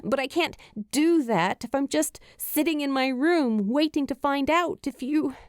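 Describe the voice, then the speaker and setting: A woman voicing an earnest, grieving teenage girl in an audiobook, halting and emotional as she speaks. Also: high voice, no room sound, clean studio sound, voice pushed up. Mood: anxious, pleading. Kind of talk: acted dialogue in audiobook narration.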